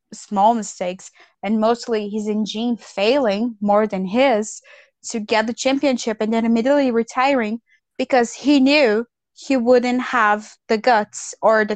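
A woman's voice: fairly high pitch, no room sound. A woman ranting bluntly and profanely in a casual chat as a podcast co-host.

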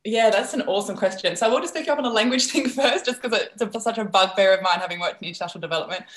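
A young woman from the Netherlands, a native Dutch speaker, talking as a guest in a podcast interview, animated and filler-heavy. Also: mid-range voice, reverberant. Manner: animated, quick, frequent fillers and laughs.

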